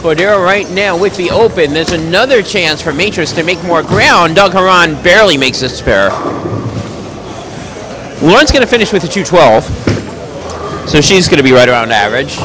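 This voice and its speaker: A man giving live play-by-play commentary on a bowling match in a bowling alley. He has a loud, projecting voice and makes chatty, joking asides between calls. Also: on the high side, lively intonation, moderate pace, background noise.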